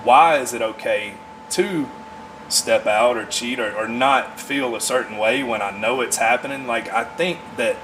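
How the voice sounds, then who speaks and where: A man in his forties talking casually as a podcast guest, in an earnest, halting way as he thinks aloud. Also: mid-range voice, noisy background. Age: 40s. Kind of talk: casual conversation.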